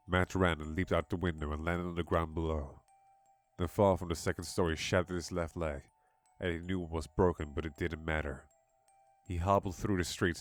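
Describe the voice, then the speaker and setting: A man giving a dramatic scripted narration of a horror story. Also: low-pitched. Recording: music behind the voice.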